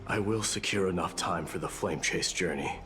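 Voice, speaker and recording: An actor playing a stoic, proud warrior demigod in a video game, speaking formally and resolutely over music. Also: male voice, low-pitched, normal speed, lightly acted. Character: stoic, proud warrior prince demigod, devoted to protecting others. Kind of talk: dramatic video game voice acting.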